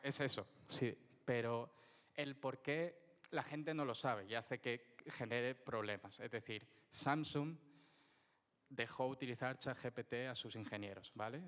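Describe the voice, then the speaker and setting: A man walks a conference audience through technical points. His voice is smooth and clear, and he keeps checking understanding with a quick "¿vale?". A long hall reverb hangs behind him. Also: mid-range voice. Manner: lively, explanatory, frequent "¿vale?" checks. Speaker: technical speaker. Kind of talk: conference presentation with audience questions.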